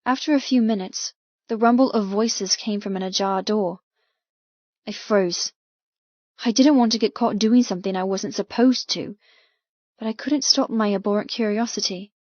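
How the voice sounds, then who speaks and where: A woman reading first-person fiction as an audiobook narrator, with dramatic, measured storytelling. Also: medium pitch, darkish tone, dry recording, dull audio.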